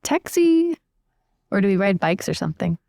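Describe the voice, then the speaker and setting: A woman's voice: mid-range voice, big pitch swings, normal speed, creaky, mellow. A woman playing a tabletop roleplaying game, improvising in a casual, chatty way. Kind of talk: casual improvised in-character chat.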